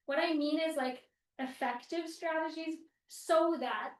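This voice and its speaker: High-voiced woman hosting a group talk. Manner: quick, clarifying, filler "like". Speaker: discussion group host. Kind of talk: casual group discussion. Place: meeting room with a group. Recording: echoey room from far off.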